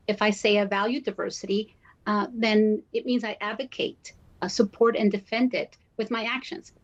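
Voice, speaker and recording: Female interview guest, mid-pitched, explaining in a deliberate, measured way over a dull, lo-fi connection. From born in Guatemala, raised in Miami, Florida. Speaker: university diversity officer, interview guest. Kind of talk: broadcast interview answers, explanatory.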